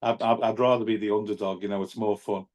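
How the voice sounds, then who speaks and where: A man's voice: fairly low pitch, little pitch movement, fast talker, a little mellow, a little hiss or hum. A man, a guest in a remote interview, talking in a chatty way and often repeating words as he restarts. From England (Crosby, near Liverpool). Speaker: guest, music venue director and singer-songwriter. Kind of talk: casual interview chat.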